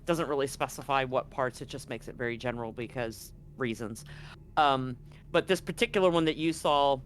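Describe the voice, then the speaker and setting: A game master with a medium-pitched voice talks casually through a tabletop role-playing session. The delivery is informal and explanatory. Some background noise sits behind the voice. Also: normal speed. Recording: clearly noisy background.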